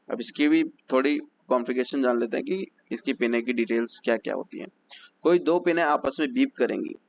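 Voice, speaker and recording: A man's voice: medium pitch, highs cut off. A man teaching phone repair step by step, methodical and repetitive as he explains each check. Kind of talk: step-by-step technical lesson.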